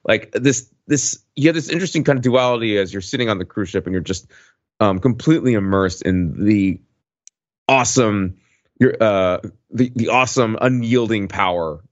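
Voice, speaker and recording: Male podcast co-host, deep register, wry and rambling delivery, close dry sound with muffled top end. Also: no room sound. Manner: halting, digressive, wry. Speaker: podcast co-host recounting a trip. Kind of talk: casual conversational storytelling.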